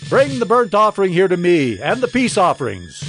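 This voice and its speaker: A proud but anxious warrior king, pitched high for a man. He speaks in urgent, declamatory lines in a biblical audio drama, with faint music behind. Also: wildly swooping pitch, a little fast, fairly bright tone, full character voice. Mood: commanding.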